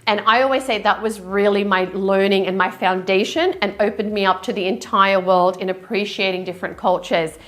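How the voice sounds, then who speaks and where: A woman born in Lebanon hosts a solo business talk for wedding professionals. She speaks directly to her listeners, energetic and coaching, with a voice in the middle of the usual range. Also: medium pitch, fairly quick, hardly any pauses. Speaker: wedding industry business host.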